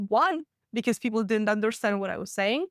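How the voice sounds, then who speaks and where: A woman's voice: medium pitch, animated, no room sound, studio quality. A woman in her late twenties from Latvia with a Russian accent, hosting a solo business podcast and telling her own story candidly, with frequent laughs. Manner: candid storytelling, quick and chatty, laughs often. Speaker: podcast host.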